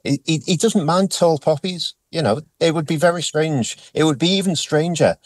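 A man giving a radio interview, animated and stumbling over repeated words. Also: a little high, normal speed, dry recording, studio quality. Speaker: politician interviewee.

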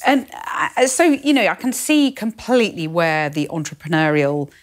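A woman's voice: on the low side, very animated, normal speed. A woman hosting a radio interview in a polished presenter manner. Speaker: radio host.

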